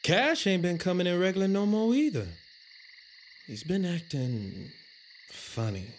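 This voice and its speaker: A man's voice: high-pitched, sing-song, measured pace, a little hiss or hum. An actor playing a wary, uneasy younger Southern countryman with a folksy, hesitant way of talking in an audio drama. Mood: uneasy, doubtful.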